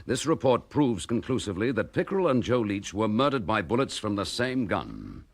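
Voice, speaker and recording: A man's voice: fairly low pitch, sing-song, moderate pace, breathy edge, exaggerated voice. An actor playing a determined, earnest detective chief inspector who presses his case bluntly. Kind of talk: 1960s radio drama acting.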